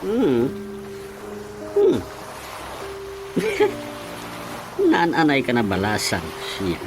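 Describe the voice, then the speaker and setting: A predatory, bullying uncle in a radio drama. He speaks slowly and threateningly, leering and grunting, his pitch lurching into sudden outbursts. Loud dramatic music plays behind him. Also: huge pitch swings. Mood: leering, sly. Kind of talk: Filipino radio drama acting.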